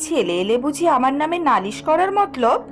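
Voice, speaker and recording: A woman's voice: high-pitched, very animated, brisk. A woman playing a cruel, sarcastic daughter-in-law in a cartoon, speaking in a harsh, bossy, sneering manner. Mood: spiteful. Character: cruel, sarcastic daughter-in-law bullying her old mother-in-law. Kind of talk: animated cartoon voice acting. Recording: music behind the voice.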